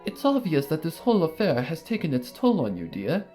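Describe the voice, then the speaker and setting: A reader putting on the voice of a refined, dramatic unicorn mare seamstress in a fan story reading, with an elegant, drawn-out delivery. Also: medium pitch, wildly swooping pitch, dark tone, faint music behind. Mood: fussy.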